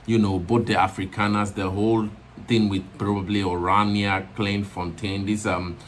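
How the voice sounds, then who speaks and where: A lower-pitched man hosts a commentary video, circling around his points. He talks in a rambling way, full of fillers and restarts, with a faint ambient hiss behind him. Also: flat delivery, normal speed. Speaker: commentary channel host.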